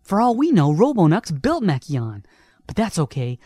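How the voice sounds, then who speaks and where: A woman's voice: on the low side, very animated, normal speed, dark tone, dry recording, clean studio sound. A woman voicing a cocky young male hedgehog hero who teases his friends. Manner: cocky, teasing.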